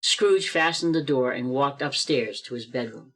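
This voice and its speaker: A man's voice: mid-range voice, expressive, normal speed, a bit bright. A man narrating a church radio play over a video call, reading expressively and building suspense.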